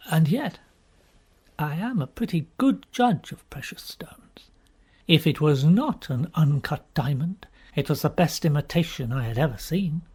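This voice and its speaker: Husky male audiobook narrator. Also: a little high. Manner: expressive, theatrical, with emphatic pauses. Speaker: audiobook reader. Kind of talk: dramatic first-person storybook narration.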